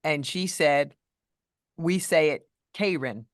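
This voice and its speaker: A middle-aged woman voice coach with a deeper voice than many women, speaking in a measured, thoughtful way as a guest in a casual interview. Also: no room sound, studio quality. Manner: measured, thoughtful, with restarts and fillers.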